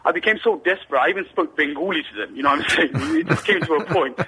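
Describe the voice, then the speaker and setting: A man's voice: on the high side, expressive, fast, pushed and bright, husky. A man calling in to a radio phone-in, telling a long story with many hesitations and restarts. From Britain. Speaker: caller. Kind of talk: phone-in call. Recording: phone line.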